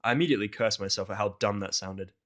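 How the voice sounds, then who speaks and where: A man's voice: on the low side, fast talker, a bit bright. A man reading scripted first-person narration for an audio drama.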